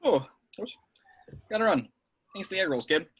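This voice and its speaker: Man playing a bright-voiced, blunt veteran secret agent. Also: high-pitched, big pitch swings, normal speed, husky, heavily acted. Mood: breezy. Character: gruff, blunt veteran male secret agent, coolly threatening. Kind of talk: amateur at-home table read of a film script.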